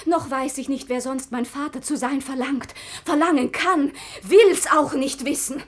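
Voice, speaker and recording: An actress playing a devoted, innocent young daughter in a classical radio play, speaking in an impassioned, pleading, theatrical way. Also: female voice, high-pitched, measured pace. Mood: defiant, pleading.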